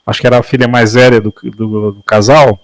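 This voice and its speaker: Calm man telling a story, dry sound. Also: mid-range voice, brisk, dry recording. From Brazil. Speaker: study group participant. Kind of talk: casual storytelling in a discussion.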